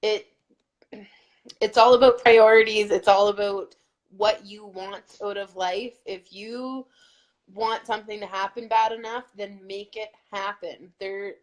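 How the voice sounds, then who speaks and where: A woman co-hosting a fitness challenge group, talking earnestly in a casual pep-talk manner with lots of "like". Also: medium pitch. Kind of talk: casual motivational chat.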